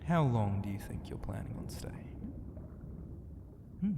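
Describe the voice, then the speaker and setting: A man playing a flirty, self-assured ancient ocean god in an ASMR roleplay, teasing and grandiose, with a breathy voice that often drops into a whisper. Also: fairly low pitch, sing-song, exaggerated voice. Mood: gentle, inviting. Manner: slow, teasing and grandiose, with hesitant hums and murmurs. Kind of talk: ASMR roleplay, intimate half-whispered delivery. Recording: long reverb, clear background ambient noise.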